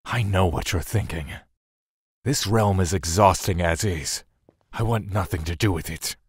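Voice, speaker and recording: A man's voice: deep voice, unhurried, husky, dry recording. An actor voicing a brooding, irritable male god of the void, curt, dry and sardonic, in an audio drama. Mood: wary, curt.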